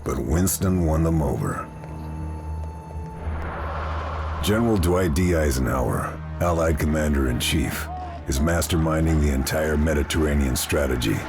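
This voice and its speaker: A man playing a grim, determined wartime secret agent in a video game, narrating in a terse, deadpan, deliberate way. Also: extremely low pitch, gravelly, over music. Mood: grim, measured. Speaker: protagonist and narrator. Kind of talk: scripted cinematic game narration.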